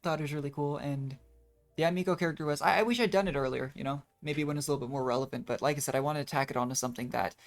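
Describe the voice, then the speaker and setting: A woman's voice: low voice, racing. A woman streamer giving casual live game commentary, chatty and thinking aloud as she goes. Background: faint game music.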